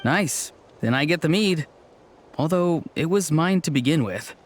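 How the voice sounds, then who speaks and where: An actor playing a teasing, mischievous young man in a video game, delivering lines with deadpan, mock-grand seriousness. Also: on the high side, measured pace, a little hiss or hum. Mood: pleased. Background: faint game background music.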